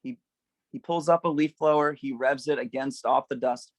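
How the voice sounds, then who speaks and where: A man with a gravelly voice reading stage directions as the narrator of a script table read. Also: medium pitch, normal speed, no room sound.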